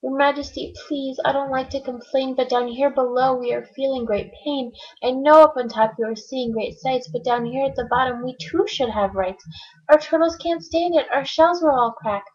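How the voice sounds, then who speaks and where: A humble, put-upon little turtle begs softly in a high, strained voice, as a woman acts him out. The recording sounds lo-fi and dull. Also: normal speed, breathy edge. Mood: pleading. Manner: pleading, groaning, polite.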